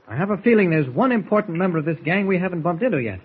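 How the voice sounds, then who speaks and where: An actor playing a calm, shrewd reporter hero in 1940s radio serial style, confident and mentor-like as he reasons. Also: high-pitched, very fast, breathy edge, narrow, tinny audio, full character voice. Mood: calm, reasoning. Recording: thin, band-limited old radio recording.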